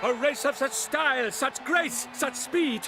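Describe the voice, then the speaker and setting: A man's voice: extremely high pitch, a little slow. A man playing a grandiose tournament herald in a game, proclaiming pompously in rhymed verse. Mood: grand, festive. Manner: declamatory, pompous. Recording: music behind the voice. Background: game music.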